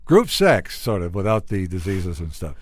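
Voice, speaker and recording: A man's voice: deep voice, very animated, moderate pace, dry recording. An American man hosting a radio interview in a studio, chatting casually with his guest.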